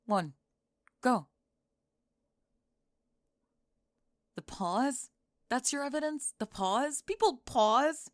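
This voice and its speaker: A young woman playing a sharp-tongued, defensive goth college student who is secretly smitten, in an ASMR roleplay, speaking in halting, clipped, emphatic phrases. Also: high voice, animated, no echo, studio quality. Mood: defensive, flustered. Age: young adult. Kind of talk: ASMR roleplay monologue, intimate audio drama.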